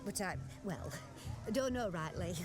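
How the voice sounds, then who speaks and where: Casting: a wide-eyed farm girl whose voice swoops with excitement, over game music. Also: fairly low pitch, unhurried. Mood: giddy. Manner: breathless, excitable, rustic. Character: naive, giddy young village girl. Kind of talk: video game cutscene voice acting. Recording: game soundtrack music behind.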